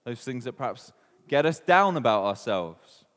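Chatty Welsh preacher in a reverberant hall. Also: male voice, mid-range voice, very animated, normal speed, fairly bright tone. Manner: chatty, asks the room questions, many ums. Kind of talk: informal sermon with questions to the congregation.

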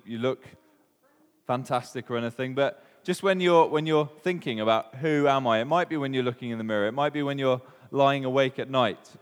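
Chatty Welsh preacher in a reverberant hall. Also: male voice, mid-range voice, clear, resonant tone. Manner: chatty, asks the room questions, many ums. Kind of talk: informal sermon with questions to the congregation.

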